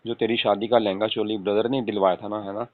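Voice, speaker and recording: A man's voice: on the low side, little pitch movement, fast talker, close to an everyday voice. A man playing an affectionate young husband on a casual late-night call, coaxing and often asking for agreement. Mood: tender, affectionate. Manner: coaxing, repeatedly seeking agreement with tag questions. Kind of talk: acted casual phone conversation with spouse. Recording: tinny phone-call audio with room echo.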